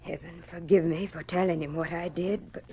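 An actress playing a gentle, anxious middle-aged frontier mother in an old radio drama, homespun and emotional. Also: fairly low pitch, fairly even intonation, normal speed, breathy edge. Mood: pleading. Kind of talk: 1940s radio drama acting. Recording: muffled old radio recording, faint noise.

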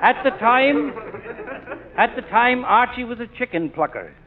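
A man's voice: very high voice, lively intonation, unhurried, very noisy. A man playing a long-winded police officer making a speech in a 1940s radio comedy. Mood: speechifying. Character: pompous speechifying male beat cop. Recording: tinny old radio broadcast.

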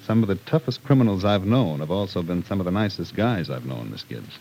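An actor playing a tough, matter-of-fact New York police detective, blunt and businesslike, in an old-time radio drama. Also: male voice, deep voice, animated, brisk, gravelly, darkish tone. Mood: blunt, grave. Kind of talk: old-time radio soap opera acting. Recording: noisy old radio recording.

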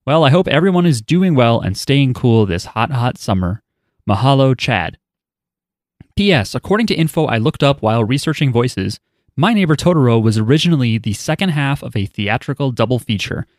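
A man's voice: medium pitch, lively intonation, fairly quick, dry recording. Wry podcast host man, dry mic. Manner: wry, offhand asides. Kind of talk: casual chat, reading listener email.

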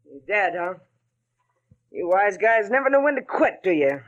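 A woman's voice: medium pitch, very animated, moderate pace, dull audio, close to an everyday voice. An actress playing a tough, sarcastic small-town woman in an old-time radio drama. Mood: tough, teasing. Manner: wisecracking, tough.

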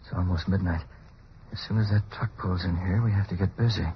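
An actor playing a cool, decisive publisher and secret vigilante in an old-time radio drama, clipped and commanding. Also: male voice, deep voice, steady tone, fairly quick, close to an everyday voice. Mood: urgent. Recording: muffled, hissy old radio recording.

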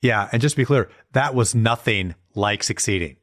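A man's voice: fairly low pitch, moderate pace, a bit bright. A middle-aged American man co-hosting a podcast with casual banter and dry, teasing sarcasm. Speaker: podcast co-host.

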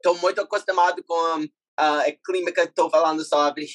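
Young man speaking learned Portuguese haltingly. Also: a little high, fairly even intonation, dry recording. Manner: halting, searching for words in a learned language. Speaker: guest athlete. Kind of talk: casual interview chat.

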